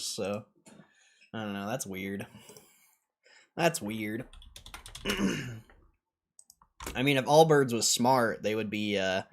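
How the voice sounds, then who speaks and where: A man's voice: fairly low pitch, a bit bright, a little hiss or hum. A man hosting a casual livestream, commenting in an offhand, skeptical way. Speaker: livestream host.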